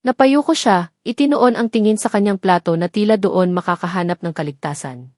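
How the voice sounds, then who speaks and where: A woman's voice: medium pitch, animated, fairly quick, darkish tone, no room sound. Solemn woman narrating, close dry sound. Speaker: story narrator. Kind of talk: scripted dramatic narration of a romance story.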